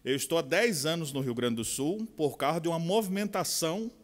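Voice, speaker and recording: A middle-aged Brazilian pastor with a Bahian accent preaches at a conference. His voice sits a touch high for a man, and he moves between soft asides and loud, drawn-out emphasis. The sound rings with the long reverb of a large hall. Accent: Bahian Portuguese. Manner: expressive, swinging from quiet asides to loud slow emphasis. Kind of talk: sermon.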